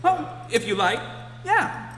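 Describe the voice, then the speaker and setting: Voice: high voice, sing-song, takes it slowly, heavily acted. A performer in a live radio play playing a blunt, dry, eccentric man who is secretly an alien. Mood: matter-of-fact. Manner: dry, blunt, urgent when pressed. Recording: echoey hall, loud music and crowd noise.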